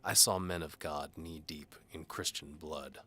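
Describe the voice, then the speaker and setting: A man's voice: extremely low pitch, fairly even intonation, measured pace, bright, forceful tone, breathy edge, exaggerated voice. A man playing a cynical, sarcastic down-on-his-luck mercenary bowman who tells his own story in a wry, noir audio drama style. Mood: bitter, defiant. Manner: sardonic, wry. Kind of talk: first-person noir audio drama narration.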